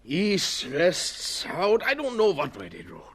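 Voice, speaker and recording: A stubborn, excitable farmer with a German accent for radio drama. His voice sits high and jumps about in pitch, with emphatic, foreign-sounding phrasing. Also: takes it slowly, a crowd in the background, exaggerated voice. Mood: grieving, pleading.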